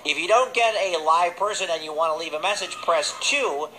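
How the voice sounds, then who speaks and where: A man's voice: on the high side, fairly quick. A man hosting children's radio, presenting and bantering in a casual live style. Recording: noisy recording off a speaker.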